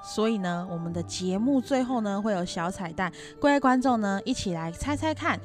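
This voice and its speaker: A woman co-hosts a podcast, explaining things to listeners in a Taiwanese accent. Her voice sits at a medium pitch, over faint music. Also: lively intonation, normal speed, darkish tone. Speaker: podcast co-host.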